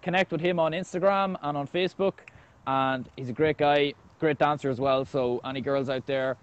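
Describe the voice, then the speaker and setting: A man's voice: a little high, fairly even intonation, moderate pace, clear, resonant tone. Earnest man hosting, dull lo-fi sound. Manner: earnest, affirming. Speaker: host of a live video talk. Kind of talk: casual conversational hosting.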